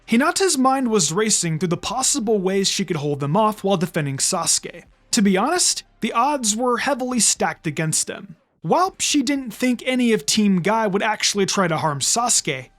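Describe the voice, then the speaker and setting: A narrator giving an expressive reading of a fan fiction story. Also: mid-range voice. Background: background music.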